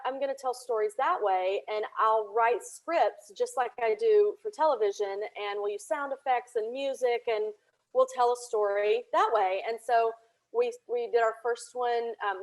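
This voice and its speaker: A woman's voice: medium pitch, rough recording. A woman guest on a podcast, telling her story in a casual, informal interview style. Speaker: podcast guest, audio drama producer and writer.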